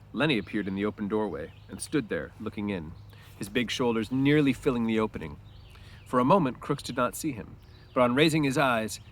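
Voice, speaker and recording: A man's voice: mid-range voice, fairly bright tone, slight background noise. Man reading a novel aloud, explanatory. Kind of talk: storybook narration with informal asides.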